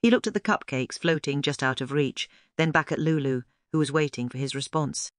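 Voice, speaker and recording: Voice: medium pitch, fairly quick, no room sound, clean studio sound. A narrator reading a scripted children's story aloud as a storyteller.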